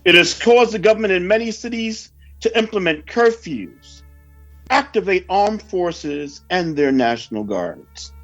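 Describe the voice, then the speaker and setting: A man's voice: a little high, lo-fi sound. A man reading a scripted sermon in a solemn, reverent, formal oratorical manner. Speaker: preacher reading a prophecy report.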